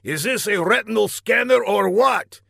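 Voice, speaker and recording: A man voicing a bombastic, short-tempered villain mastermind in a comic audiobook, theatrical and given to shouting. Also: high voice, very animated, unhurried, vocal fry, breathy edge, dry recording, clean studio sound, voice pushed up, heavily acted. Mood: exasperated fury. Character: bombastic, short-tempered male villain mastermind, mock game-show host.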